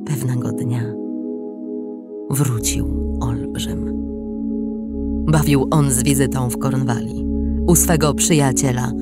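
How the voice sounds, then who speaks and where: Low-pitched, unhurried woman storyteller. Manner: deliberate, expressive, with pauses and hushed endings. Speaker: storybook narrator. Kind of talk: scripted dramatic storytelling.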